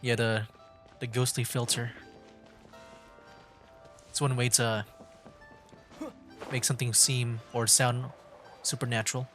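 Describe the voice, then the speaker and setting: A man's voice: medium pitch, flat delivery, slow pace, long pauses, fairly bright tone, soft background music. A man hosting a game livestream with casual commentary, musing aloud in a rambling way. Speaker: livestream gamer host.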